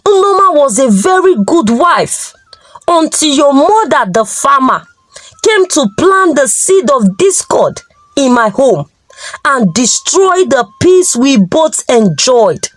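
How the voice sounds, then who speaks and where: A woman's voice: high-pitched, very animated, slow pace, no echo, exaggerated voice. A woman with a Nigerian accent voicing a wronged, sincere husband in a folktale, earnest and aggrieved.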